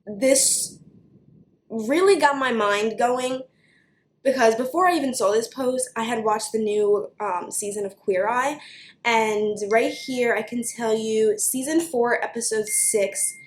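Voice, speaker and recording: A young woman with a New York accent, ranting to camera for her vlog in an animated, chatty way with plenty of "like". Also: a little high, roomy sound, slight background noise. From United States. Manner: animated, fast, chatty with many likes. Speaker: beauty vlogger.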